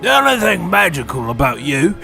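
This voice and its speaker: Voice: mid-range voice, very animated, fairly quick, vocal fry, sharp tone, faint music behind, exaggerated voice. A performer voicing an irritable middle-aged uncle who blusters and scolds. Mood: menacing.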